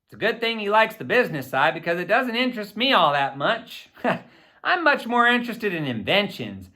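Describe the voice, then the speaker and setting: A man reading a children's story aloud in an expressive, boyish first-person narration. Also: high-pitched, moderate pace. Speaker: audiobook reader.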